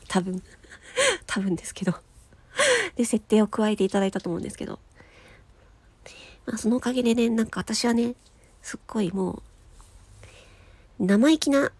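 A woman's voice: medium pitch, dark tone, slightly rough, dry recording. A woman podcast host chatting casually and enthusiastically, with emphatic, giggly delivery. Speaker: podcast host and amateur voice actress.

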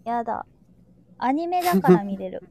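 A woman's voice: fairly high pitch, very animated, moderate pace. A woman chatting casually on a talk show, reflecting and prompting the others. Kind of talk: casual chat. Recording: soft, faintly noisy room ambience.

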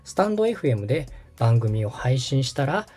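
A man's voice: medium pitch, sing-song, normal speed, darkish tone, husky, soft background music. A man hosting a step-by-step tutorial, explaining things politely and guiding the listener along. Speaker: podcast host and tutorial instructor, radio director.

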